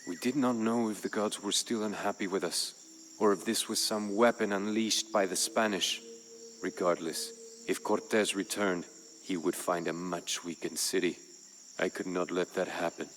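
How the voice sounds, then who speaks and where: A man playing a proud, somber Aztec emperor, telling of his people's war in grave, measured, formal game narration. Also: low-pitched, steady tone, fairly bright tone, slight background noise. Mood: somber, concerned. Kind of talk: solemn scripted narration in character. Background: music.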